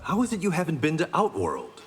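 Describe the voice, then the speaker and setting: An actor playing a stern, honorable reformed-gangster swordsman in a video game, speaking in a measured, terse, resolute way. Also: on the high side, huge pitch swings, husky, exaggerated voice. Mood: solemn. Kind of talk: video game fight banter. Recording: reverberant hall, faint background noise.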